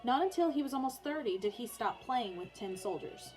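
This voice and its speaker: A woman's voice: a little high, animated, a little fast, over music. A woman teacher reading a children's biography aloud for online learners, in storybook narration with informal, chatty asides.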